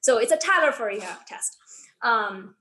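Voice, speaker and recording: A woman's voice: on the high side, expressive, normal speed, sharp tone. A woman on a podcast panel, explaining her ideas eagerly. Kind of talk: casual discussion.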